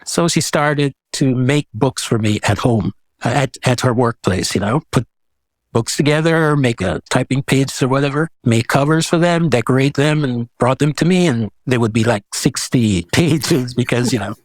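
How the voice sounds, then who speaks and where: A man's voice: medium pitch, moderate pace, no room sound. Older man, dry close recording. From Guyana. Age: 70s. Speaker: guest, visual artist. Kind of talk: casual reflective interview talk.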